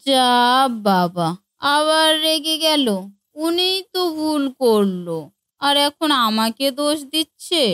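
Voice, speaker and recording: A woman voicing a dim-witted, innocently stubborn young daughter-in-law in a comic cartoon, with a naive, whiny, drawn-out delivery. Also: high-pitched, fairly bright tone, no room sound, full character voice. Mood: sulky.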